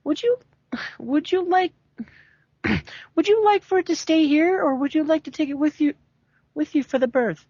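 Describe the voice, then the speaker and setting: A woman's voice: sits high, normal speed, pauses often, mellow, no echo, close to an everyday voice. A woman voicing a concerned, polite female nurse in an amateur story reading. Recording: dry, muffled home recording.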